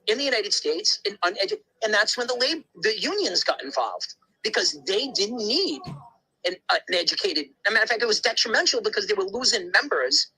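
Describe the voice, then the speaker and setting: A man with a husky, slightly rough edge to his voice holds forth in a loose podcast chat. He talks bluntly and emphatically, piling on asides and restarting his sentences as he goes. His tone is bright and forceful. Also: high voice, big pitch swings, a little fast, poor audio. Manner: rambling, emphatic storytelling with many asides and restarts; blunt, occasional swearing. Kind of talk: casual conversation.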